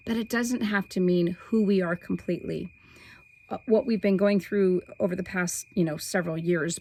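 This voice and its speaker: A middle-aged woman with a slightly hoarse voice, hosting a weekly spiritual forecast in a soft, meandering way that stretches key words. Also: medium pitch. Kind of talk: casual spiritual talk to camera.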